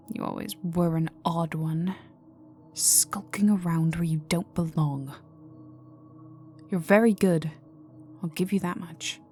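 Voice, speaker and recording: A woman playing a cold, haughty vampire aristocrat in a dark ASMR roleplay, condescending and teasing, often dropping to a whisper. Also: fairly low pitch. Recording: voice over dark ambient music. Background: dark atmospheric music with resonant tones.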